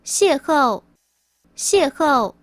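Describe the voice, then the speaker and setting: A woman's voice: high-pitched, big pitch swings, very slow. A woman reading scripted quiz sentences aloud as the question reader.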